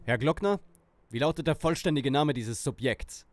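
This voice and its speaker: A man's voice: medium pitch, expressive, moderate pace, dry recording, natural voice. A man playing an earnest, commanding young detective in a German audio drama parody. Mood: decisive.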